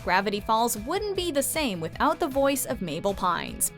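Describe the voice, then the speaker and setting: A woman narrates a countdown in a fairly high voice. Her scripted delivery is very animated, with light music running underneath. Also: normal speed.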